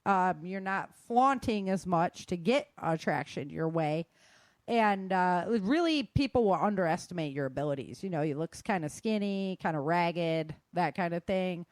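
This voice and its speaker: A woman's voice: lowish voice, lively intonation, normal speed, a little mellow, no room sound. A woman hosting a talk show, giving casual, emphatic advice straight to her listeners. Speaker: host of a self-defense and survival show.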